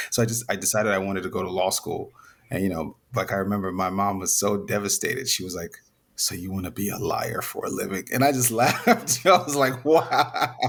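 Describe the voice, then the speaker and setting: A young man from Zambia, a guest in a casual interview, talking in a relaxed, rambling way with many restarts. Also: sits low, gravelly. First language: Nyanja.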